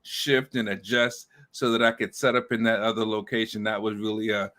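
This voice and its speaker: A man's voice: fairly low pitch, flat delivery, a little fast, sharp tone. A man hosting a casual interview, chatty and affirming, often stumbling through restarts and fillers as he asks questions. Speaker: host.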